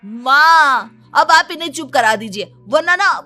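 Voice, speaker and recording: Cartoon teen son, played by a woman: slightly high pitch, lazy, spoiled, cheeky whiner. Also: animated, slow, bright, forceful tone, faint music behind, heavily acted. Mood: demanding, flippant. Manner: whining, flippant. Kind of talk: Hindi cartoon moral-story acting.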